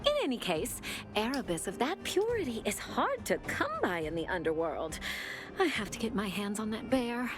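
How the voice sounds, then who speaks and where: A woman's voice: medium pitch, big pitch swings, normal speed, over music, natural voice. A woman playing a haughty, aloof young treasure seeker in a video game, dramatic and self-possessed. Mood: lofty, determined.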